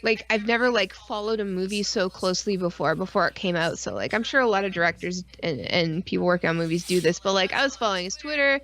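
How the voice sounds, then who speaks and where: A woman's voice: medium pitch, lively intonation, fast, hardly any pauses, fairly bright tone. Casual, rambling woman co-host. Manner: rambling and informal, frequent 'like' and mild swearing. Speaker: podcast and stream co-host. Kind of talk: casual chat.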